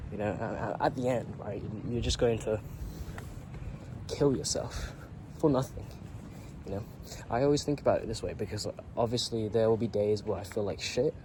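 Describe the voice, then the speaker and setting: A man's voice: lowish voice, background noise. A man in his late teens, a YouTuber, talking through a rambling, earnest reflection and swearing casually.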